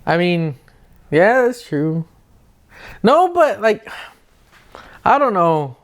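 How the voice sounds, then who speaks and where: A man's voice: high-pitched, sing-song, slow. A man hosting a casual advice podcast, talking and debating in an informal, slangy way. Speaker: podcast host.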